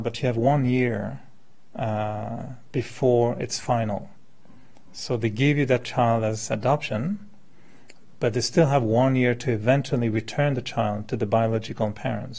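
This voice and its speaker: Male speaker, fifties, mid-pitched with heavy vocal fry, informal spiritual lecture, conversational and questioning. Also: darkish tone. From born in France, settled in Quebec. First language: French. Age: 50s. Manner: conversational, illustrates with hypothetical examples and questions. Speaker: meditation teacher, speaker. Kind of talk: informal spiritual talk to a live group.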